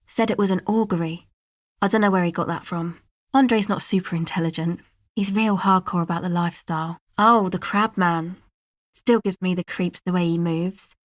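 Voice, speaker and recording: A woman's voice: mid-range voice, dry recording, close to an everyday voice. A woman voicing a laid-back young woman from a street rave crew in a video game, talking casually in a dry, hesitant, slangy way. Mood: guarded, matter-of-fact. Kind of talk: video game character dialogue.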